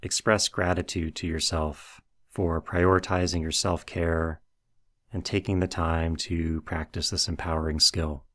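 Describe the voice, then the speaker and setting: A man with a low-pitched voice narrates a guided meditation. He gives instructions in a measured way, working from a script as a meditation guide. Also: level tone, a bit bright.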